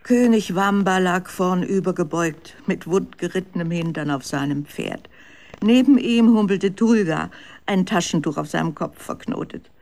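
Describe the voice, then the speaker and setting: A narrator telling a children's radio story in a measured, expressive scripted style. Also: mid-range voice.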